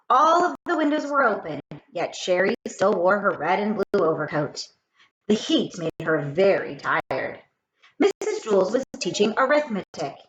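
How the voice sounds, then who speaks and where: A woman reading a children's story aloud in an expressive way, speaking straight to young listeners. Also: medium pitch, highs cut off. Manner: expressive, addresses children directly. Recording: roomy echo with faint noise.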